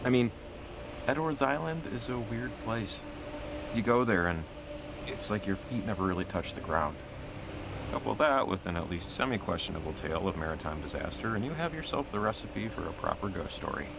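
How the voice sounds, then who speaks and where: Chatty, gravelly, low-voiced young man. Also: brisk, background noise. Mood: wry, enthusiastic. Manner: rambling, hesitant, casual and animated. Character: chatty, excitable young man, goofy local believer in ghost stories. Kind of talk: naturalistic video game dialogue.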